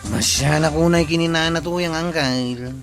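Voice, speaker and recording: A man's voice: fairly high pitch, normal speed, over music, natural voice. A man playing an earnest, gossipy village nephew in an Ilocano comedy radio drama, with an Ilocano accent. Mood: concerned.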